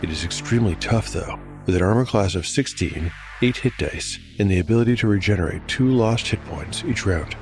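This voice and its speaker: A man's voice: lowish voice, darkish tone. A man narrating a fantasy tabletop game as storyteller and game master, in scripted style. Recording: music under voice. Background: clear music.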